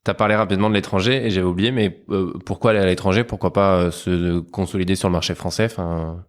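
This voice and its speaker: Podcast host guy, lowish voice, laid back, friendly questions. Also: quick pace. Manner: relaxed, friendly questions with short backchannels. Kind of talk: casual interview chat.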